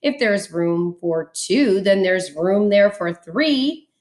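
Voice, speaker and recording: A woman's voice: medium pitch, lively intonation, takes it slowly, a little mellow. A woman voicing a fresh, pushy, carefree little storybook bird with a chirpy, presumptuous manner. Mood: delighted.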